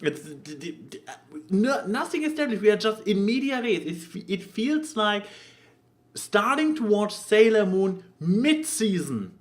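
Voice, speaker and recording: A man chatting casually as a podcast co-host, giving an exasperated, animated review and acting out quotes as he goes. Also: sits high, a little slow.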